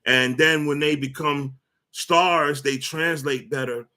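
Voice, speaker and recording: A man's voice: on the high side, fairly even intonation, slow, sharp tone. A man delivers a loose, rambling sports rant, stating his opinions bluntly. He trips over his words, repeats himself and fills the gaps with "uh" and "you know" as he works toward his point. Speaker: sports commentary host.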